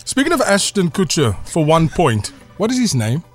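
Man, quizmaster, reads trivia clues, slightly high voice, radio studio, faint music underneath. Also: very animated, moderate pace. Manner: reads clues, then laughs along. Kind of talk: radio quiz hosting.

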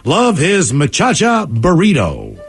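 A man in his forties, a radio host reading listener emails in an animated, jokey way. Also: fairly high pitch, takes it slowly, gravelly. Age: 40s. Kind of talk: morning radio show.

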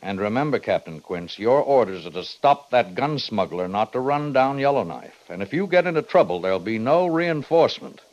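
A man's voice: medium pitch, animated, a little mellow, natural voice. Man playing a stern army major, muffled radio. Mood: stern, official. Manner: formal, authoritative, measured orders. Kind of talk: 1950s radio western acting. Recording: muffled old radio recording.

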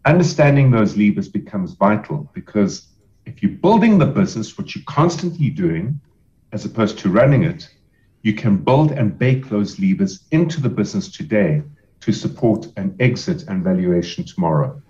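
Measured low-voiced man explaining business. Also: expressive, mellow, muffled recording. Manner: measured, explanatory, emphatic repetition. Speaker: business sale advisor. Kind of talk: podcast interview answers.

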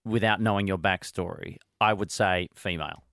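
Man asking halting interview questions. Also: low-pitched, vocal fry, a bit bright, no echo, studio quality. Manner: halting, curious questioner with restarts.

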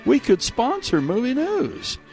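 A man's voice: very high-pitched, huge pitch swings, measured pace, vocal fry, darkish tone, faint music behind. A man hosting a radio movie show with casual, jokey banter and emphatic, conversational delivery. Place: radio studio.

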